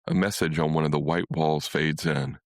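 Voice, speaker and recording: A man's voice: very deep voice, flat delivery, moderate pace, no echo, clean studio sound. A man reading a literary short story as scripted narration.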